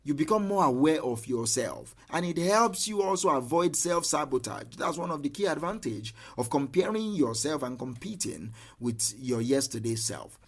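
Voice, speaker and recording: A man's voice: a little high, animated, moderate pace, hardly any pauses. Guy coaching mindset, earnest, says stuff twice to hammer it home. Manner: repeats key lines for emphasis, earnest and direct. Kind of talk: motivational talk.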